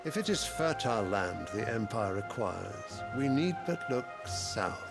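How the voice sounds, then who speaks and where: An actor playing a proud, scornful emperor in a video game, speaking in an oratorical manner. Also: male voice, lowish voice, big pitch swings, gravelly, loud music behind the voice, full character voice. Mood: cold, scornful. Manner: imperious, oratorical, deliberate. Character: proud, scornful male imperial ruler rebuking his council. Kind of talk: theatrical game cutscene acting.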